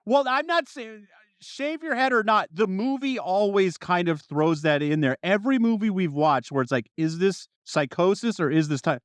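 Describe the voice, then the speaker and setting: A man co-hosting a podcast, chatting casually in an animated, jokey way and jumping in often. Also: sits high, normal speed, clear, resonant tone, no room sound. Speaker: podcast co-host. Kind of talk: casual chat about a science fiction series.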